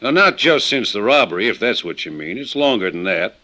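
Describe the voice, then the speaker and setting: An actor playing a shrewd, laconic small-town police chief with folksy phrasing in an old-time radio detective drama. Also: male voice, on the low side, very animated, fairly quick, bright, forceful tone. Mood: folksy, unhurried.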